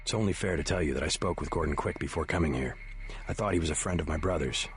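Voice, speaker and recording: A man playing a serious American government agent in a video game, terse and deadpan. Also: a bass voice, quick pace. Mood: earnest. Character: stoic young American male government agent, serious and clipped.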